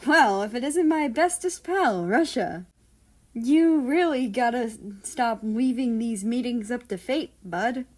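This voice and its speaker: A woman's voice: fairly high pitch, expressive, unhurried, voice pushed up. A woman voicing a cheerful, cheeky, chummy young man with a breezy manner in a story. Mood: playful teasing.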